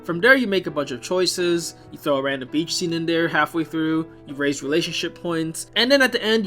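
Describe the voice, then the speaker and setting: A man with a fairly high voice hosts a scripted video essay. He sounds opinionated, slipping in wry asides as he narrates. Music plays faintly underneath his voice. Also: fairly bright tone. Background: background music.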